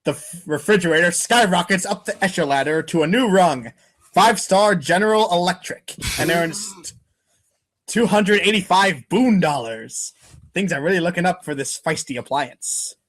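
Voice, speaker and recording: A man's voice: high voice, moderate pace, fairly bright tone. A man giving a playful, theatrical, exclamatory reading of a comic as its narrator. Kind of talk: playful dramatic reading of a webcomic.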